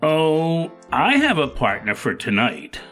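A man's voice: on the high side, wildly swooping pitch, slow pace, fairly bright tone, faint music behind, exaggerated voice. A man playing an offbeat, easygoing neighbour in an audio drama, hesitant and droll.

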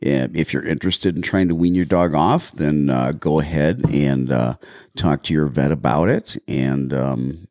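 A man's voice: rumbling low, a little slow, a little mellow, no room sound, clean studio sound. A man co-hosting a podcast as a dog trainer, explaining training in an emphatic, casual way with many ums.

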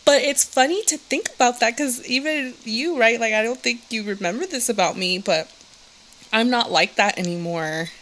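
A woman's voice: a little high, normal speed, fairly bright tone, a little hiss or hum. A young woman from Southern California, co-hosting a podcast and chatting casually, laughing often and saying "like" a lot. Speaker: podcast co-host. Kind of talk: casual chat.

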